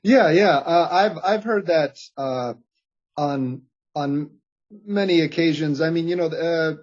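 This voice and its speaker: Earnest man with a husky voice. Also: fairly high pitch, darkish tone. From Bosnia. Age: late 20s. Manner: earnest, halting with many fillers and restarts. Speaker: podcast guest. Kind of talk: reflective interview conversation. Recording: dull lo-fi audio.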